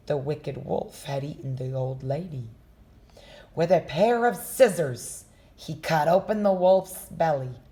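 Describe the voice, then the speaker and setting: Slow, expressive woman reading a story. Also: lowish voice. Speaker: storybook reader. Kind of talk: reading a children's story aloud.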